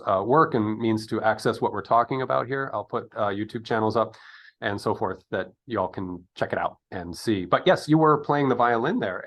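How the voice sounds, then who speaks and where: Male podcast host, lower-pitched voice, chatty and rambling, conversational interviewing. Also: fairly bright tone.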